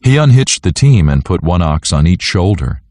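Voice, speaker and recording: A man narrating a children's story in a scripted, animated read-aloud style. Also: low voice, normal speed, no room sound, clean studio sound.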